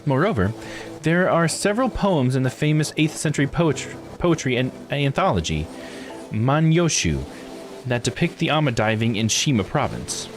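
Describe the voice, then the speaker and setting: A man's voice: medium pitch, very animated, normal speed, soft background music, pitched above the performer's own voice, lightly acted. A man voicing an earnest, knowledgeable expert who formally explains local lore in a visual novel game. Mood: informative. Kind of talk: visual novel dialogue read aloud.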